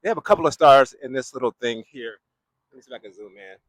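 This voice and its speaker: A man's voice: mid-range voice, animated, quick pace, a bit bright, no room sound. A young man talking casually as he walks a city street, rambling and laughing often, holding a handheld mic. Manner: rambling, laughs often, loops back to his point. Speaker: vlogger walking the street. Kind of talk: casual walking vlog commentary. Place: outdoors on a city boulevard.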